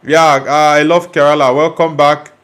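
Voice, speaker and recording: A young man from Nigeria hosts a football live stream in a relaxed, chatty way. His voice sits a little higher than most men's. He reads out viewer comments and answers them directly, sometimes teasing, sometimes blunt. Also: normal speed, clean tone. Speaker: livestream host reading chat. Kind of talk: casual live chat.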